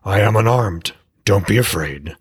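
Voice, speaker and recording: A man's voice: lowish voice, lively intonation, a little slow, husky, no room sound, studio quality, heavily acted. A man voicing a proud, scornful old war god in an audiobook, speaking in a haughty, commanding way. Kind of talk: audiobook character voice.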